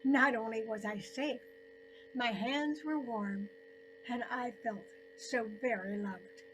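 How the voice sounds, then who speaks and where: An elderly woman tells a personal story she has written. Her voice sits a little high, and she speaks very slowly and deliberately, pausing often between short phrases. There is faint noise in the recording. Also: fairly bright tone, poor audio. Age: 70s or older. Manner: deliberate phrasing with frequent pauses. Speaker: storyteller narrating own story. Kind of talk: scripted personal story narration.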